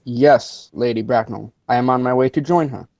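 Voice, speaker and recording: A man's voice: medium pitch, normal speed, darkish tone, natural voice. A man playing a pompous, mild, learned country clergyman in a radio play, pedantic and formal, on a slightly dull recording. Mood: pompous, genteel. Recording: dry, slightly dull lo-fi audio.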